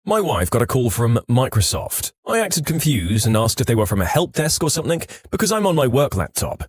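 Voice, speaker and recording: A man reading online stories as a scripted podcast narration, with an animated storytelling delivery, close on the microphone. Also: medium pitch, brisk, husky, no echo.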